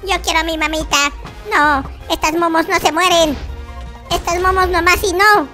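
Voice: very high-pitched, steady tone, normal speed, pushed and bright, soft background music. A gaming YouTuber voicing a boastful, whiny noob baby boy in exclamatory, babyish video game roleplay. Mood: panicked, pleading.

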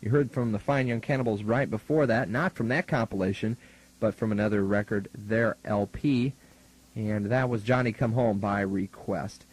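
A man's voice: lowish voice, normal speed, husky, poor audio. A young American man working as a student radio DJ, announcing music and chatting in a casual, wry manner. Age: young adult. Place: radio studio. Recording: 1980s college radio aircheck tape.

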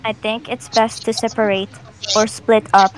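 A woman's voice: a little high, little pitch movement, measured pace, noisy background, close to an everyday voice. A woman playing a grave, solitary, proud villain in a game roleplay, speaking formally and solemnly. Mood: solemn, cool. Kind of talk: scripted game roleplay acting. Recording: music behind the voice.

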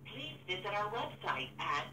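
A woman's voice: medium pitch, big pitch swings, normal speed, recorded from a distance, crowd noise. A woman reading a scripted automated phone greeting, heard through a telephone speaker in a room. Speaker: automated phone line announcer. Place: played over a phone in a room. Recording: noisy, roomy phone speaker, off-mic.

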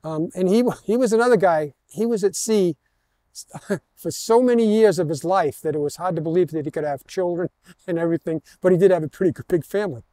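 An older man with an American accent giving an informal history talk as a walking tour guide, halting and digressive as he tells his stories. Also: sits high, mellow, no room sound. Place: outdoors in an open parking lot. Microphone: headset mic.

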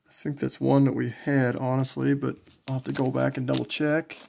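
A man casually commentating as he shows collectibles, in a low-key, folksy way, thinking aloud. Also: level tone, normal speed, gravelly, mellow, slight background noise. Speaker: trading card collector and video host. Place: indoors, small home tabletop setup.

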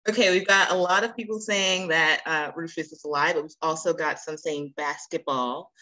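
A woman's voice: low-pitched, steady tone, moderate pace, sharp tone, poor audio. A woman hosting a casual group video call, playful, reacting aloud and urging people on. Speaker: host of a virtual icebreaker session.

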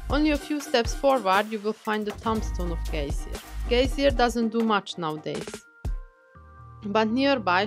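Woman narrating a travel vlog. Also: on the high side. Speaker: travel vlogger.

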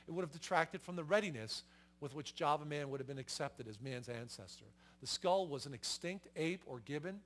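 A middle-aged male pastor with a high voice preaches from a church stage. He explains each point emphatically, with fillers and restarts, and his lapel mic keeps him close. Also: fairly bright tone. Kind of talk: sermon with slides.

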